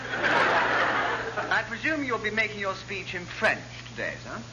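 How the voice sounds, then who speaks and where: Wildly swooping dim British sub-lieutenant. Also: male voice, high voice, wildly swooping pitch, slow pace, full character voice. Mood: blithe. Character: blithe, dim, posh male sub-lieutenant. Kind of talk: classic British radio sitcom acting. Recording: very noisy, dull old radio recording.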